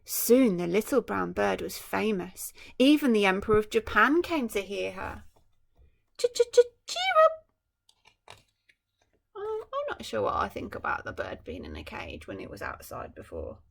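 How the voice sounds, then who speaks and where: A woman teacher reading a storybook aloud to children, adding gentle, musing asides to her young listeners. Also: medium pitch, wildly swooping pitch, moderate pace, gravelly, hardly any pauses. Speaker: primary school teacher.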